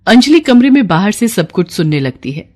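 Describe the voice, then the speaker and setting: A woman's voice: medium pitch, very animated, moderate pace, a little mellow. A woman delivering scripted narration for a moral tale as the storyteller.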